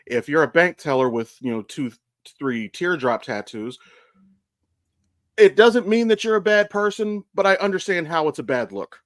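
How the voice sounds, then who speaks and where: A man's voice: a little high, lively intonation, normal speed, a bit bright, dry recording. Candid, swearing American man chatting, dry sound. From Ohio, United States. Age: 30s. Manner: candid, profane, rambling storytelling. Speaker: podcast host. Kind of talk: casual conversational podcast chat.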